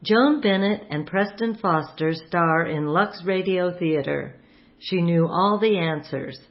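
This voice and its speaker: A woman's voice: fairly low pitch, slow pace. A woman hosting a radio program, reading a scripted introduction. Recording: slightly muffled voice recording.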